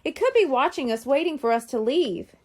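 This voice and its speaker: Storybook role: bright-minded bossy little girl played by a woman, high, animated, instructive. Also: fairly quick. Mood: thoughtful. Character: clever young girl, confident planner leading her friends.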